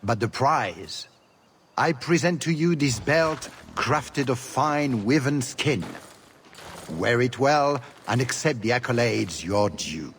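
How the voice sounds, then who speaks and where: An actor playing a suave, theatrical, sardonic duke in a video game, speaking with flowery, mocking courtesy. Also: male voice, mid-range voice, lively intonation, takes it slowly, a little hiss or hum. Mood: grandly gracious. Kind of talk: video game cutscene acting.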